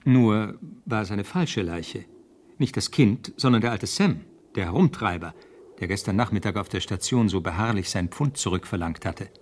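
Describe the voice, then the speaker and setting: An actor narrating a detective story in a radio play, with a gravelly, husky voice. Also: male voice, fairly low pitch, expressive, moderate pace. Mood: sober narration. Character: male narrator telling a detective's case. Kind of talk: scripted crime radio drama narration.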